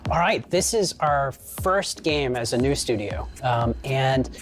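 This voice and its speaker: A man answers interview questions as a game studio executive, explaining as he goes. His pitch is average, and faint music plays behind him. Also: expressive, slow pace.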